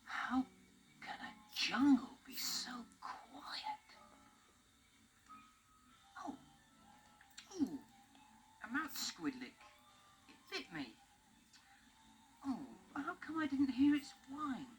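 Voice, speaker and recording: A woman's voice: high-pitched, very animated, extremely slow, long pauses, soft background music, full character voice. A woman voicing a jittery, curious young boy on a space trip, stammering as he talks, in a 1970s storybook record. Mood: wondering. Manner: stammering, hesitant.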